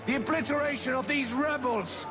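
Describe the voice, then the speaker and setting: An actor playing a grandiose, falsely humble tyrant king in a game, giving a theatrical political speech with dramatic pauses. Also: very high voice. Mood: brooding, fervent. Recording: loud music under the speech.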